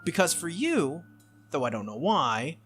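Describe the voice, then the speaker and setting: A man's voice: fairly high pitch, big pitch swings, a little slow, a bit bright, faint music behind. A man voicing a bossy, exasperated teenage girl mage from a visual novel, blunt and scolding in manner.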